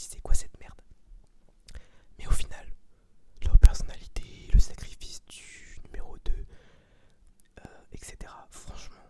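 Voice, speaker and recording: An ASMR host whispering a review in an informal, slangy, rambling way. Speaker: ASMR video host giving a film review.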